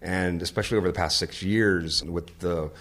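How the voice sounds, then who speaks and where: A man with a deep voice speaks in a loose, conversational way. He sounds relaxed and talkative, often breaking into easy laughter as he tells his story. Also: moderate pace. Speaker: podcast host and venue sound manager, interview guest. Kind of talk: casual interview conversation.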